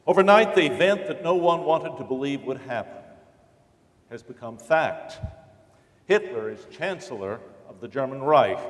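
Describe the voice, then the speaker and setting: A man acting as a grave narrator in a staged documentary reading, speaking deliberately and declaiming each phrase. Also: mid-range voice, natural voice. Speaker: stage reader in a dramatic reading. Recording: echoey hall with long reverb.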